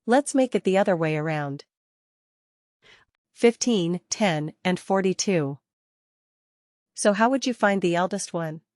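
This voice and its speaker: Woman, software trainer, slightly low voice, dry close sound, step-by-step teaching, repetitive emphasis, questions to learners. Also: animated, unhurried, pauses often, dry recording. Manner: repeats key points, poses questions to learners.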